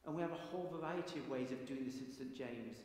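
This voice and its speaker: A middle-aged man preaching a sermon in a large stone church, slow and deliberate with long pauses. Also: medium pitch, darkish tone. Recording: echoey church with long reverb.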